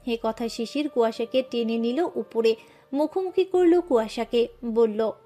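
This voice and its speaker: A woman narrating a scripted romantic love story with an expressive, emotive reading. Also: fairly high pitch, measured pace.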